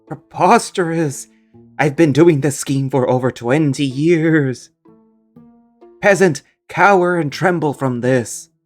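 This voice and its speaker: Man doing a raised, slow, pompous magus spirit for an audio story, archaic and haughty. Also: fairly high pitch, soft background music. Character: arrogant male magus spirit, pompous and theatrical.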